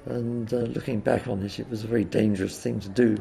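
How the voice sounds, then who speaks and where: An older man with a croaky voice, recalling the past in an interview, hesitating often with uhs and ums. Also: lowish voice, fairly even intonation, fast talker, warm tone, slight background noise. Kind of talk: oral history reminiscence.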